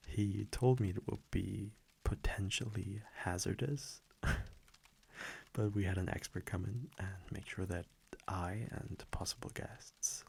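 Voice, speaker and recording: A man playing a tender, teasing vampire boyfriend in an intimate ASMR roleplay, speaking softly and affectionately with a breathy voice that dips toward whispers. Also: deep voice, heavily acted. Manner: soft, unhurried, affectionate, gently teasing. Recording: faint background noise, crackling fireplace ambience.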